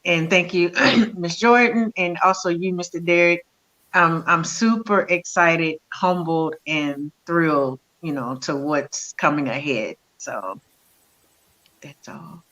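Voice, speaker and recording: An older woman from a stage play cast giving hesitant thanks as a talk show guest, pausing often with ums. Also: on the low side, fairly bright tone, low-quality recording. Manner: slow, hesitant, with many ums.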